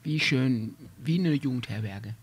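A man's voice: mid-range voice, measured pace, slight background noise. A young man giving casual, joking commentary while playing a video game. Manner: joking, offhand remarks. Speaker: let's play commentator.

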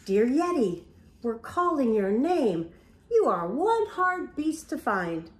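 Slow, animated teacher reading to little kids. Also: female voice, sits high, roomy sound. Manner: animated storytelling with gasps and whispers. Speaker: teacher reading aloud to young children. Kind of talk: picture-book read-aloud. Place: home room, indoors.

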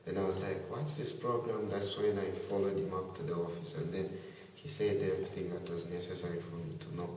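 Teenage boy from Zambia, deep voice, soft-spoken interviewee, far camera mic with roomy reverb. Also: level tone, brisk, a little hiss or hum. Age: teens. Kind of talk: casual interview answers. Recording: echoey room from far off.

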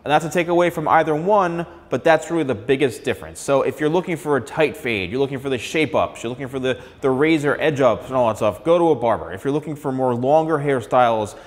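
A man's voice: medium pitch, fast, hardly any pauses. A man, a hairstylist talking casually to camera, gesturing and saying "all right" often. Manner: conversational, gestures a lot, frequent "all right". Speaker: hairstylist and channel host. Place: large studio room with piano, indoors. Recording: long reverb in a bare room.

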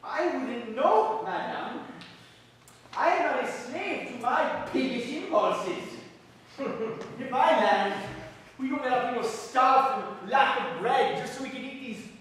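A man's voice: very high voice, lively intonation, slow, fairly bright tone, a little hiss or hum, full character voice. An actor playing a fiery male revolutionary in disguise as a duke, ranting scornfully in theatrical declamation. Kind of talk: audio drama acting. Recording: echoey hall, voice from far off.

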